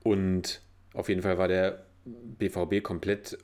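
A man's voice: sits low, steady tone, measured pace, darkish tone. A man chatting casually about football, pushing back and asking pointed questions.

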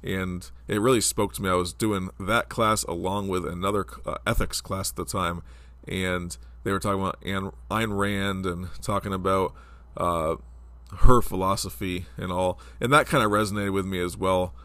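A man's voice: low voice, fairly even intonation, normal speed, a bit bright, dry recording. A man in his forties hosting a casual solo podcast, talking in a rambling, conversational way with lots of fillers, his voice stuffed up from a cold. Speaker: podcast host.

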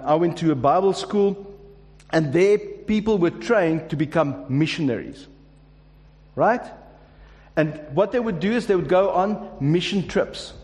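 A man with a high voice delivers a sermon to his congregation. He speaks casually and jokes, often restarting his sentences. His voice rings with the long reverb of a large hall. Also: darkish tone. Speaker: pastor. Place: church hall.

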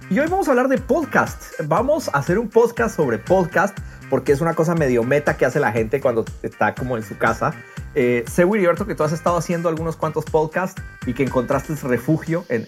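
Un hombre que conduce un podcast de charla informal, contando las cosas con animación y entusiasmo. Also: male voice, a little high. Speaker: podcast host. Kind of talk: casual podcast interview.

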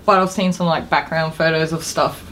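A woman chatting informally as a podcast co-host, excitable and emphatic in the way she talks. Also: deep voice, moderate pace, a little hiss or hum. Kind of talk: casual chat about games and films.

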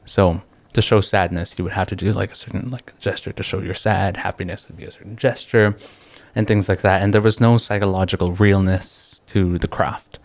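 A young man from the Philippines talks in a laid-back, thoughtful way, breaking off mid-sentence and chuckling now and then. His voice sits a little low. The recording is close and dry, with no room sound. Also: dry recording. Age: young adult. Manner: relaxed and reflective, pausing mid-thought, laughing easily. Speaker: guest. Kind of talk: casual interview chat.

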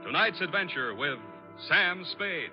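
On a dull, dry vintage radio recording, an American man announces in 1940s commercial style. His fairly high voice swings in lively inflection as he delivers a polished, emphatic scripted pitch. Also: measured pace, music in the background. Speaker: radio announcer reading sponsor ad.

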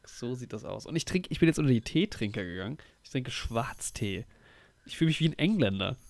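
A young man co-hosts a laid-back podcast in chatty, slangy speech. His voice is mid-pitched and carries a slight cold. The sound is close and dry. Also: lively intonation, fairly quick, gravelly, dry recording. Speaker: podcast co-host. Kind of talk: casual podcast chat.

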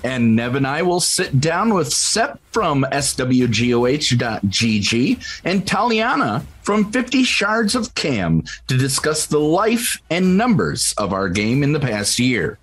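A man's voice: mid-range voice, sing-song, a little slow, hardly any pauses, fairly bright tone, breathy edge. A man hosting a podcast, reading out a scripted show introduction. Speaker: podcast host.

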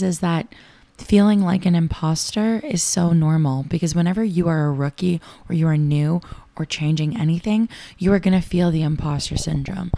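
A young woman with a raspy voice, hosting a show and reading affirmations slowly and soothingly. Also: fairly low pitch, warm tone, no echo. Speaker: affirmation and meditation host. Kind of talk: guided affirmations. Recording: clear music bed behind voice.

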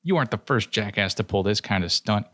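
A man's voice: lowish voice, expressive, fairly quick, a bit bright, dry recording. A man voicing a peevish, foul-mouthed male trickster god who snaps at everyone. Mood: irritated. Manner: exasperated, snapping.

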